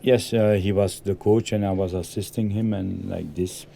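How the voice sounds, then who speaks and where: A man from Belgium, a football coach, answering interview questions in halting full of ums and loose grammar. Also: sits low, steady tone, creaky, mellow.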